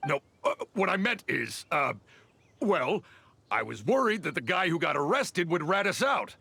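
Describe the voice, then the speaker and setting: A man's voice: fairly high pitch, sing-song, normal speed, creaky, pushed and bright, breathy edge, no echo, full character voice. An actor playing a shifty, cornered bandit leader in a video game, stammering and hesitant as he caves. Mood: grudgingly confessing. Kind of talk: video game story dialogue.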